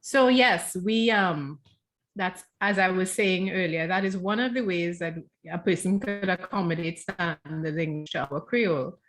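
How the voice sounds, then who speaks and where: A woman's voice: on the low side, measured pace, fairly bright tone. A Trinidadian woman, a guest author, explaining her writing thoughtfully in a casual book club chat over a video call, often restarting her phrases.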